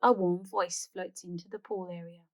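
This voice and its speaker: A woman's voice: lowish voice, fairly even intonation, moderate pace. A woman hosting a read-aloud, narrating a children's storybook expressively.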